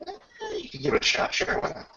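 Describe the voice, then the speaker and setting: A man narrating a tabletop roleplaying game as game master, casual and descriptive, with a gravelly, husky voice. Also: quick pace, pushed and bright. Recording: muffled lo-fi voice recording.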